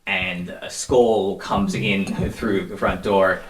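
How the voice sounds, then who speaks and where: A man's voice: low-pitched, steady tone, slow, distant mic, slight background noise. A man narrating a tabletop superhero game as game master. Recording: roomy sound with music bed. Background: background music.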